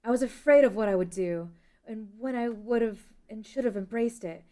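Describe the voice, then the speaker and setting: A woman playing a grave, regretful young princess in an amateur fantasy table read, solemn and measured. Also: fairly high pitch, mellow, natural voice.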